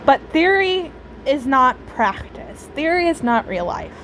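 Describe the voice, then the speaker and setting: A woman giving casual, reflective commentary on a game's story, thoughtful and opinionated, with halting phrasing. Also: high voice, very animated, a bit bright, slight background noise. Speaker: game streamer reacting to story scenes.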